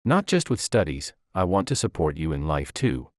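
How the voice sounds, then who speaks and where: Guy doing a humble sincere school kid in a story, deep voice, very dry recording. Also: very animated, normal speed, no echo. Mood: earnest. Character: earnest, humble, devoted teenage schoolboy.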